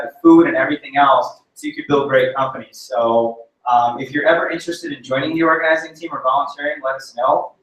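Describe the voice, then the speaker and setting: A man's voice: medium pitch, a bit bright. A young man emceeing an event, chatty and self-deprecating, laughing at his own slip-ups. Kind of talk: casual event emcee announcements. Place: large echoey event space. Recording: echoey hall, voice from far off.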